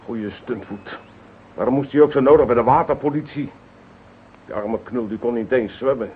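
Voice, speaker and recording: An actor playing a gruff, sarcastic, blustering harbour police inspector in a radio drama. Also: male voice, medium pitch, normal speed, a little hiss or hum, lightly acted. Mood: bitter. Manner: blustering, sarcastic, nautical slang. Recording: muffled, thin old radio recording.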